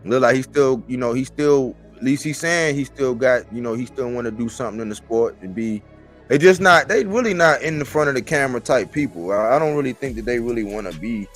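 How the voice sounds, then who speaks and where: A man's voice: mid-range voice, animated, a little fast, a bit bright, faint music behind. A man in his forties talking casually as a sports commentator on a live stream, in colloquial language and repeating phrases for emphasis.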